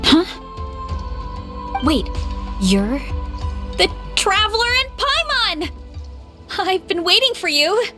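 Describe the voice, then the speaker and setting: An eager, friendly young female athlete in a game, speaking in a high voice full of bubbly enthusiasm. She sounds starstruck and earnest, with faint game music in the background. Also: takes it slowly, long pauses, exaggerated voice. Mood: surprised. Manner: bubbly, enthusiastic, talks with excited warmth about meeting heroes. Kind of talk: game voice acting.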